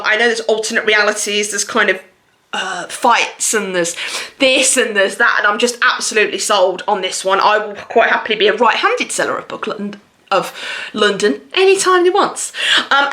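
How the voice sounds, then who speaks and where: A woman's voice: fairly high pitch, sharp tone. A woman hosting a chatty book vlog, talking straight to her viewers in an enthusiastic, gushing way. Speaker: book reviewer and video host.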